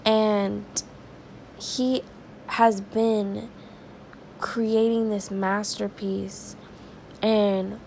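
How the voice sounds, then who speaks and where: Woman podcast preacher, stuffy and raspy from crying; gravelly, slow, earnest delivery. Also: medium pitch, little pitch movement, a little mellow, slight background noise, poor audio. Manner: earnest, conversational, slow and prayerful. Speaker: devotional podcast host. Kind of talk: informal devotional talk and prayer.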